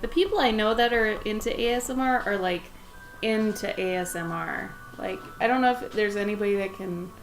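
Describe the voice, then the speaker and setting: Young woman, raspy voice, pitched a little high; chatty livestream artist, soft music underneath. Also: low-quality recording. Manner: chatty, rambling, talks to her dog and to chat. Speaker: livestreaming pet portrait artist. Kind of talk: casual chat while drawing on a livestream. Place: home art studio with plastic bins.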